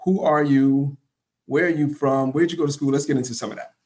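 A man's voice: medium pitch, fairly even intonation, brisk, a little mellow. A man hosting a school talk show, introducing and interviewing a guest with upbeat enthusiasm. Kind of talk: casual interview.

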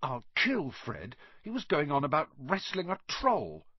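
A man's voice: on the high side, wildly swooping pitch, a little slow, husky, no room sound, pitched above the performer's own voice, full character voice. A man doing a nervous boy grumbling. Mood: whispering. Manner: hushed grumbling whisper. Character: nervous young boy student, gloomy. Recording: dull muffled audio.

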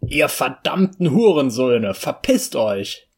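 A man voicing a foul-mouthed, furious man who yells insults to drive people off his land. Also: mid-range voice, big pitch swings, takes it slowly, husky, full character voice. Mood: furious yelling.

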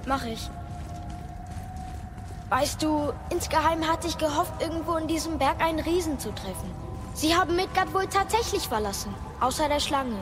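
A woman's voice: high voice, animated, moderate pace, over music. A woman playing a curious, earnest young boy, the son of a warrior, in a German audio drama. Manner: inquisitive, earnest.

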